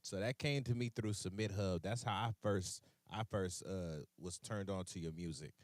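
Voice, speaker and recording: Deep-voiced laid-back radio host. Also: male voice, normal speed, no echo. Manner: laid-back, slangy, repeats himself. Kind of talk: casual interview chat.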